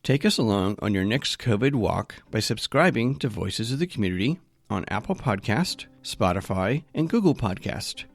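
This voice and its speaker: A man's voice: lowish voice, animated, moderate pace, few pauses, dry recording. A man hosting a community podcast, speaking as a presenter. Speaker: podcast host. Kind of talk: scripted podcast narration and interview hosting.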